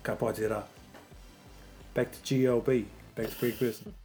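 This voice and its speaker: A man's voice: medium pitch, little pitch movement, normal speed, dark tone, soft background music. A man hosting a casual faith discussion, thinking aloud and rambling through his points with plenty of fillers. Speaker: podcast host.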